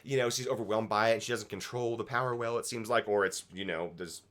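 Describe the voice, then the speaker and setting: A man with a low-pitched voice talks through an episode as a review co-host. His delivery is conversational and opinionated, with emphatic wording and casual profanity. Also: steady tone, fast talker, fairly bright tone. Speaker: fan review show co-host.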